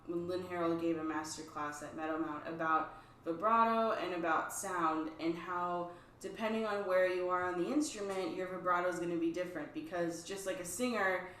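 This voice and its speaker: A woman's voice: fairly low pitch, fairly bright tone, slight background noise. A woman co-hosting a casual chat, explaining string technique in an easygoing way. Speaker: co-host, string teacher. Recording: echoey room, distant mic.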